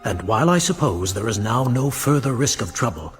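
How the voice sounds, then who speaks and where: An actor playing a grave, cautious letter writer, reading formally in a raspy voice in a radio play. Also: male voice, mid-range voice, sing-song, brisk, faint music behind, close to an everyday voice. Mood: guarded, formal.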